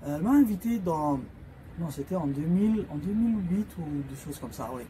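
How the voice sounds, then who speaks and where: A man's voice: a little high, animated, brisk, mellow, a little hiss or hum, low-quality recording. A man whose first language is Somali, an author presenting his books in an informal talk, hesitating often with filler sounds and restarts as he explains. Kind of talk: informal spoken book presentation.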